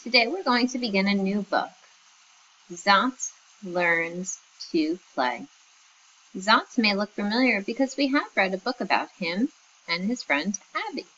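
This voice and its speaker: A woman's voice: medium pitch, animated, unhurried, pushed and bright, dull audio, a little hiss or hum. A woman teacher reading a picture book aloud to young children, patiently sounding out words.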